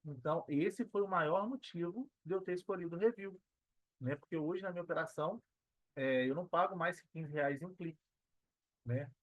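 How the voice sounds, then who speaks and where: A Brazilian man giving casual, reflective interview answers as a podcast guest, pausing as he thinks and often adding tag questions. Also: on the high side, dry recording, dull audio. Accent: Brazilian Portuguese. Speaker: podcast guest, affiliate marketer.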